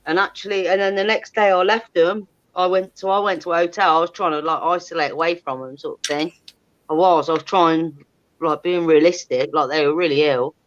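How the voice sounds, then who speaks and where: A woman's voice: fairly low pitch, steady tone, a little fast. Blunt, sweary woman, muffled audio. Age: 30s. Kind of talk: candid casual chat.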